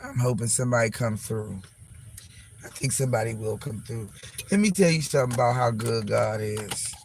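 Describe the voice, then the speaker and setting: A man vlogging casually from his car, hoarse and losing his voice from a chest cold, talking in a rambling, profane, frank way. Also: mid-range voice, normal speed, a little hiss or hum. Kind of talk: casual vlog monologue. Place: parked car.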